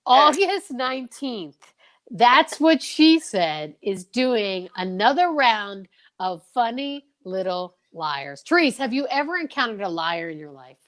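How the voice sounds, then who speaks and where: A woman's voice: fairly high pitch, very animated, unhurried. Effusive, encouraging woman hosting a show. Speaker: show host. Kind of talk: casual chat.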